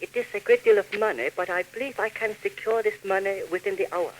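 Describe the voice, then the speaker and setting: An actor playing a sinister, courteous Japanese government agent in a 1940s radio drama, with a put-on Japanese accent and clipped, formal broken English. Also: male voice, high-pitched, fast, close to an everyday voice. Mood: smooth, scheming. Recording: noisy old 1940s radio recording.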